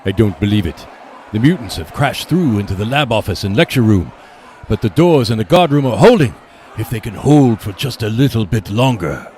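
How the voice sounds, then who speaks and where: A man's voice: lowish voice, animated, normal speed, darkish tone, lightly acted. A man playing a tense human defender in a radio play, with an urgent, dramatic delivery over a noisy recording. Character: tense male human defender shouting urgent battle reports.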